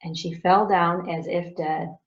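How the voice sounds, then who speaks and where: A woman librarian narrating a fairy tale for children in an expressive storytelling manner. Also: fairly low pitch, a little slow. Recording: dull lo-fi recording.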